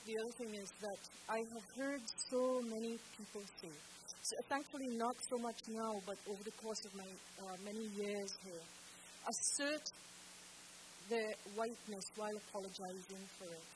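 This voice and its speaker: A woman on a theatre stage panel, answering earnestly and firmly with halting restarts, into a handheld mic. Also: on the high side. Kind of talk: panel discussion response. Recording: hissy auditorium recording.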